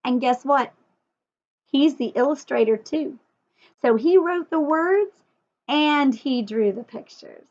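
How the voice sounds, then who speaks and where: A woman's voice: high voice, lively intonation, slow, pauses often, a little mellow. A woman teacher leading a story time lesson for young children, asking lots of questions and emphasising key words. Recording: dull lo-fi sound.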